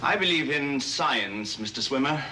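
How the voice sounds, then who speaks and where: An actor playing a calm, persuasive psychiatrist who speaks in a measured, soothing way in a 1970s TV movie. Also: male voice, medium pitch, low-quality recording. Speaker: doctor. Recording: hissy old TV soundtrack.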